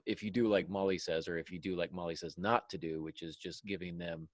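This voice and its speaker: A man hosting a casual podcast discussion, giving writing advice in halting phrases and repeating points for emphasis. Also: low voice, fairly even intonation, no room sound. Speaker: podcast host and fiction writer.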